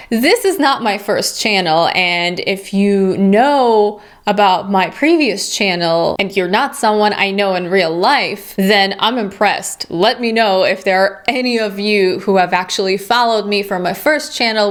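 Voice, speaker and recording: Young woman vlogger, native Russian speaker. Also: medium pitch. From Ukraine. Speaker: YouTube host. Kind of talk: chatty Q&A vlog to viewers.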